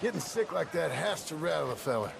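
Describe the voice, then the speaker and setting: A man playing a rough, plain-spoken Old West outlaw with an American accent and folksy, terse phrasing in a game. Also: a little high, very animated, a little fast, background noise, heavily acted. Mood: sombre, reflective. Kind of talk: naturalistic game cutscene dialogue.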